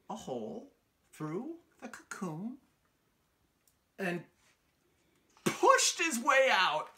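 A middle-aged American man hosting a children's storytime, reading a picture book aloud in an expressive, sing-song way with questions to his listeners. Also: high voice. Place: small room indoors on a couch.

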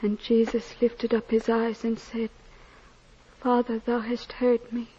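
A woman's voice: on the high side, completely flat delivery, a little slow, warm tone, lightly acted. An actress playing a devout, loving, steadfast young woman in an old-time radio drama, speaking with solemn earnestness. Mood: solemn, reverent. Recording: muffled, noisy old radio recording.

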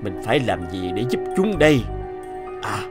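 An actor playing a kind, middle-aged doctor in a cartoon, speaking gently and with sympathy. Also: male voice, lowish voice, sing-song, normal speed, music in the background. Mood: troubled. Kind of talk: Vietnamese cartoon dubbing.

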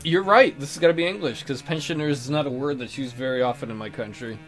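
A man's voice: mid-range voice, quick pace. A man hosting a let's play with casual, teasing, sarcastic commentary. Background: soft guitar music.

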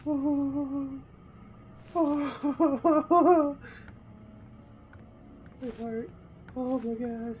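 A young man with a slightly croaky voice gives casual running commentary on a game. He is chatty and jumpy, and game sounds play clearly underneath him. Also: very high-pitched, steady tone, drawn-out words, long pauses, a little mellow, muffled recording, a little hiss or hum. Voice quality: a little croaky. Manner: jumpy, chatty, talks to viewers. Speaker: gamer.

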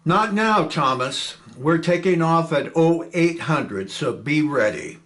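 A man's voice: on the high side, expressive, takes it slowly, a bit bright. A man reading a play aloud, voicing a firm, commanding male squadron chief.

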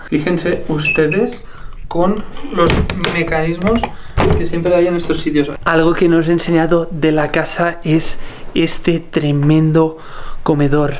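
A man's voice: a little high, background noise. A young man from Spain filming an enthusiastic travel vlog to a handheld camera, talking casually and exclaiming as he shows a village house and streets. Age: young adult. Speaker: travel vlogger. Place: village house and streets at night, indoor and outdoor. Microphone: handheld camera at arm's length.

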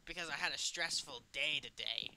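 A man voicing a gleefully wicked evil puppet animatronic in an improvised game roleplay, chatty and boastful with theatrical turns and halting phrases. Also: fairly high pitch, vocal fry, pushed and bright, a little hiss or hum, full character voice, low-quality recording. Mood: musing, chatty.

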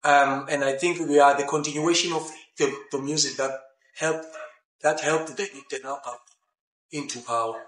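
South African rapper, halting and echoey. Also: male voice, a little high, fairly even intonation, a bit bright. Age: 30s. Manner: halting, many restarts, mixing in Xhosa phrases. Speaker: rapper guest. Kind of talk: casual interview. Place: outdoors by a brick building porch.

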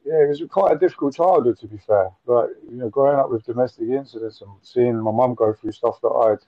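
Halting man in his forties. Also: medium pitch, dry recording. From Nottingham, England. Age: 40s. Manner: halting, repeats words, frequent fillers. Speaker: interview guest. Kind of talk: casual storytelling interview. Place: room at home with window blinds. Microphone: video call. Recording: muffled dry video call audio.

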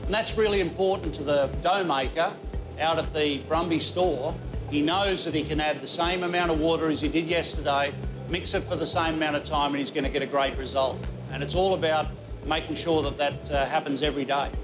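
A man's voice: fairly high pitch, steady tone, over music. Australian man explaining flour milling. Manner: measured, explanatory. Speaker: flour mill manager. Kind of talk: interview answers. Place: inside a flour mill control room.

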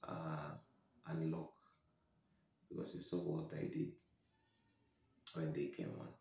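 A young man giving solo football commentary as a podcast host, halting and downcast, speaking into a desk microphone in a small room. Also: very deep voice, creaky, dark tone. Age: young adult. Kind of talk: solo post-match commentary to camera. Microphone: desktop podcast microphone, close. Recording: very echoey room.

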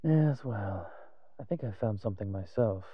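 A man playing a wry, cautious vampire father in an audio roleplay, speaking in a measured, musing way to the listener. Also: lowish voice, expressive, no room sound, muffled recording. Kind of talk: scripted audio roleplay acting addressed to the listener.

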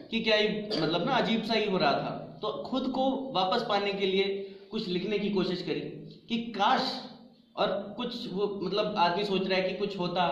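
Young guy doing open mic poetry, repeats lines like a chant, kinda muffled lo-fi sound. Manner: repetitive, chant-like refrains, rising on verses and sinking low on endings. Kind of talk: reciting his own poetry with spoken asides. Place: indoor room with large windows. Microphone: handheld mic. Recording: very echoey room, dull lo-fi sound.

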